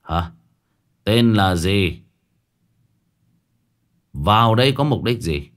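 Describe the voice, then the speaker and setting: A man's voice: lowish voice, expressive, slow. A man voicing a stern young male shaman who interrogates harshly in clipped phrases.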